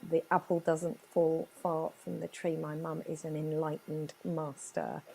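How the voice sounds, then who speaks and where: A woman's voice: deep voice, creaky, mellow, breathy edge, poor audio. A woman from Chile with a Chilean Spanish accent, telling her life story earnestly in an interview. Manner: earnest, emphatic storytelling with dramatic pauses. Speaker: guest business owner.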